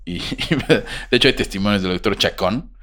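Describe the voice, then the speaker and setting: A man's voice: fairly low pitch, moderate pace, a bit bright. A man hosting a podcast, telling a story casually with a joking manner. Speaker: podcast host.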